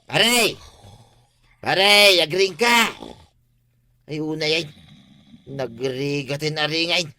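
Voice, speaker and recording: A man acting an excitable, complaining village husband in a radio comedy drama, with an Ilocano accent. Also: fairly high pitch, slow pace, pauses often, heavily acted. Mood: urgent calling.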